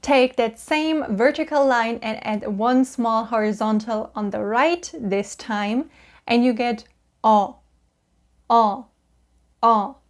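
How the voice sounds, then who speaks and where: A young woman with a German accent, patiently explaining Korean vowels and repeating each sound slowly. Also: fairly high pitch. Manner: cheerful, patient, drawn-out sounds for repetition. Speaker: Korean language teacher.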